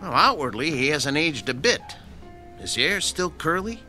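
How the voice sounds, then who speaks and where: A whiny, hungry mechanic. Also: male voice, fairly high pitch, sing-song, a little slow, bright, forceful tone, faint music behind, heavily acted. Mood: grumbling. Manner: whiny, joking. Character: hungry, grumbling male mechanic sidekick. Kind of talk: 1960s-style radio drama acting.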